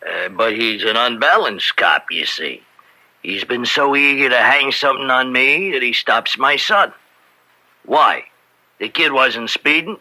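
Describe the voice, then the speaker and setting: An actor playing an aggrieved, scheming crime boss in a radio drama, talking in rough streetwise grammar and pressing his questions. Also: mid-range voice, unhurried, full character voice, poor audio. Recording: bright old-time radio recording.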